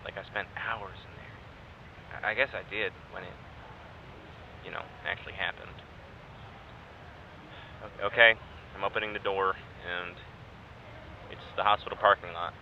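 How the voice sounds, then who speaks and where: A man acting a wry, hesitant test subject in an audio drama, speaking haltingly and naturally. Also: low-pitched, steady tone. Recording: dull lo-fi microphone, faint noise.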